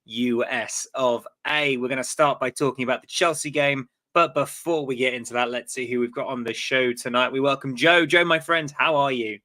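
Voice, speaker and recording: A man's voice: mid-range voice, fairly even intonation, normal speed, few pauses, sharp tone. A man hosting a live-stream fan podcast, chatty and loose, often repeating words as he goes. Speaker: podcast host. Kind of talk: casual live-stream chat.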